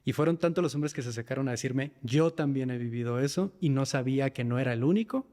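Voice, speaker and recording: In a reverberant room, a man with a northern Mexican accent talks in a reflective, personal way. His voice sits at an ordinary male pitch, as a guest in a conversation. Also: fast, warm tone. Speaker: podcast guest, men's mental health facilitator. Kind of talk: reflective personal interview talk.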